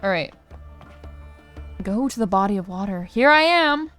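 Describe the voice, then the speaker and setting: A woman's voice: medium pitch, animated, moderate pace, a bit bright, no room sound. A young woman doing casual let's play commentary, slangy, reactive and given to swearing. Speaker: gaming streamer. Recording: game music behind the voice.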